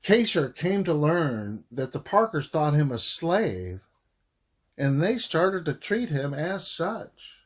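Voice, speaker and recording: Male audiobook narrator, historical fiction. Unhurried, dramatic delivery with pauses and very lively intonation. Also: fairly high pitch, slightly rough. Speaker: author reading own book aloud.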